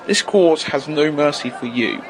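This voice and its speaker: A man's voice: a little high, measured pace, background noise. A man playing a stern, merciless judge in an audio drama, speaking formally and declaiming each sentence. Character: stern, merciless male judge of an alien court.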